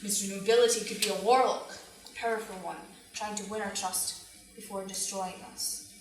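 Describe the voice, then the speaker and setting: A woman on stage in an amateur play, acting a sharp-tongued, skeptical young working woman from a fairy tale. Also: medium pitch, steady tone, normal speed, noisy background, close to an everyday voice. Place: theatre stage, live performance. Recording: echoey theatre stage from far off.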